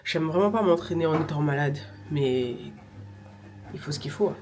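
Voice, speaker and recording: A woman's voice: sits low, normal speed, far from the mic. A young woman vlogging casually with a stuffy, nasal voice from a cold, chatting along with filler sounds. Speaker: vlogger and semi-pro handball player. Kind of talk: casual vlog chat. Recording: echoey room with music behind.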